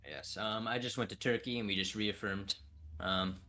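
A man's voice: fairly low pitch, fairly even intonation, normal speed, pushed and bright. A man roleplaying a vice president over a voice call, agreeable and formally deferential.

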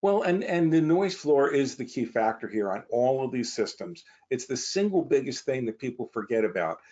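A man's voice: mid-range voice, very animated, normal speed, mellow, muffled recording. A man speaking as a company guest, informally explaining technical matters on a webinar over a video call.

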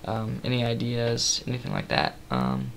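A young man talks into his webcam in a small room late at night, sounding worn out. His voice has a heavy gravelly fry and a little rasp, and he rambles along in a soft, low-key way with lots of ums. A faint hiss sits under the recording. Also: sing-song, moderate pace. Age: 20s. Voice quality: slightly raspy from tiredness. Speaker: vlogger. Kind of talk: casual webcam update. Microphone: built-in webcam mic at close range.

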